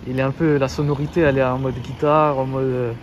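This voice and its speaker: A man with a mid-pitched voice runs a casual interview, putting friendly, slangy questions to his guest. Faint outdoor street sound sits in the background. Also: flat delivery, quick pace, dull audio, a little hiss or hum. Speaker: interviewer, show host.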